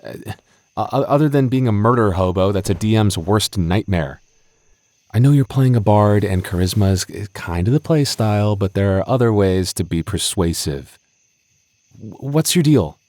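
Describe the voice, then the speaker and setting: Male actor as young game master, deep voice, exasperated tsundere friend, hesitant delivery, intimate audio roleplay. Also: expressive, normal speed, a little mellow, no echo, close to an everyday voice. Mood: frustrated, confronting. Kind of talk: ASMR audio roleplay acting.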